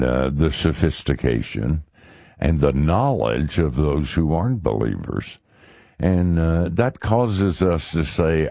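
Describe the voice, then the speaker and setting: A male talk radio host with an extremely deep, gravelly voice. He talks slowly and deliberately in a folksy, conversational way, his pitch moving freely for emphasis. The sound is close and dry. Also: dark tone, dry recording.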